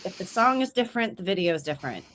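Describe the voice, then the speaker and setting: A young woman chats casually as host of a live writing stream. She tells stories with energy, slipping into quoted voices that leap up in pitch. Her voice sits at an ordinary middle height. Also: rapid-fire, slight background noise, rough recording. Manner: animated, acts out quoted speech with big pitch jumps. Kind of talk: casual chat.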